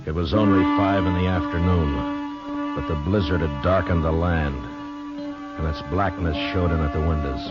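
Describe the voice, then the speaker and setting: An actor playing a hard-bitten frontier marshal with an American accent, narrating and speaking tersely and grimly in an old-time radio Western. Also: male voice, low-pitched, moderate pace, lightly acted. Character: steady, hard-bitten frontier marshal telling his story. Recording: dull old radio recording with music.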